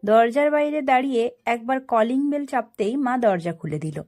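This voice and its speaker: A woman storyteller narrating a first-person story in an expressive, dramatic way. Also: on the high side, moderate pace, faint music behind.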